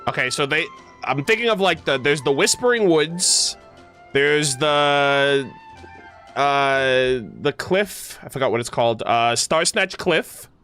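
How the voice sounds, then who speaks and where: High-pitched male streamer, casual and slangy. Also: slow, pushed and bright. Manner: slangy, addresses chat. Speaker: game streamer. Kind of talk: casual live reaction commentary. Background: game music.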